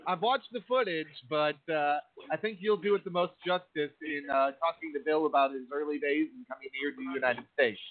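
A man's voice: fairly high pitch, a little fast. A young man co-hosting a radio show, introducing the conversation in a casual style. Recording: narrow phone-like line.

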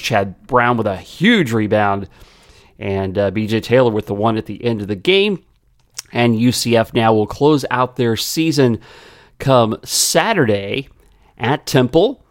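A man hosting a sports podcast, his voice a little raspy, chatting with frequent pauses and fillers. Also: medium pitch, no room sound, studio quality. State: slightly raspy voice.

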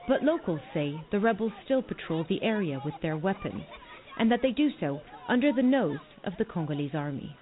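A woman's voice: mid-range voice, big pitch swings. Female news correspondent, tinny line. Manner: measured, formal reporting. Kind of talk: scripted news report. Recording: tinny phone-like playback.

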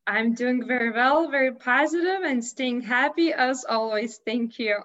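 A woman's voice: fairly high pitch, a little slow, fairly bright tone. A woman from Lithuania with a Lithuanian accent, a guest on a remote interview who speaks in an upbeat, earnest way, often restarting her sentences. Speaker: guest, holistic health consultant. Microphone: video call. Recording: dull lo-fi video call audio.

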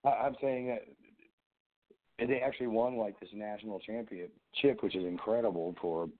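Guy calling in w a sore froggy throat, lowish voice, phone-line tinny, rambles and restarts a lot. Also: steady tone, moderate pace. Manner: rambling, many restarts and fillers. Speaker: caller, fellow show host.

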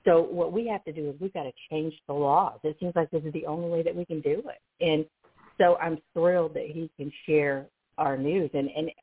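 A woman talks as a radio guest, excitable and emphatic, often tripping over her starts. Her voice is husky in a middle register. It comes over a thin, phone-like line. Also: sits low, fairly quick. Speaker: guest activist. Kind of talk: casual political talk. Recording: phone-like narrow band.

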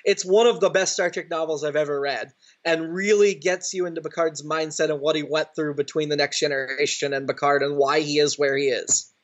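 Man, fairly high voice, earnest, enthusiastic, casual podcast guest, slightly muffled recording. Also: steady tone, a little fast. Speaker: podcast guest, audio drama writer. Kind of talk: casual interview chat. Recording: slightly dull remote-call sound.

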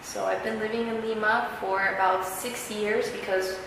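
A woman's voice: medium pitch, normal speed, vocal fry. Young woman in a very echoey hall. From Peru, raised in the United States. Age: young adult. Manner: relaxed, fluent, explanatory. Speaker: guest, video blogger. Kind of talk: unscripted interview answers. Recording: very echoey hall, faint noise.

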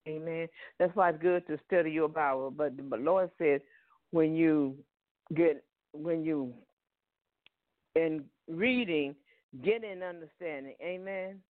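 Southern preacher on a tinny phone line. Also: male voice, fairly high pitch, no echo. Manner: peppers speech with "Amen" and "Hallelujah". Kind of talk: Bible teaching sermon.

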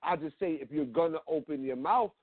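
A man's voice: on the high side, a little fast, slightly rough, no echo. A man speaking as a guest on a talk show, frank and blunt, often restarting with repeated fillers. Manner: frank, repetitive, many fillers. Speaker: guest, media creator. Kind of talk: casual interview talk. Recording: dry, phone-like call audio.

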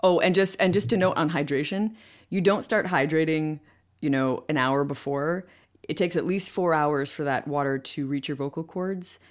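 A woman voice actor telling a story in an interview, animated and loose, with plenty of "like" and "um" fillers. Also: low voice, moderate pace. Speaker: voice actor guest. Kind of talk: anecdotal interview chat.

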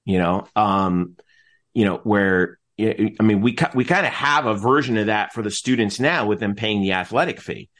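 Low-voiced middle-aged man, chatting casually. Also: moderate pace. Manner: rambling, animated explaining with frequent self-interruptions. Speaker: interview guest, announcer and teacher. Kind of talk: casual conversational interview.

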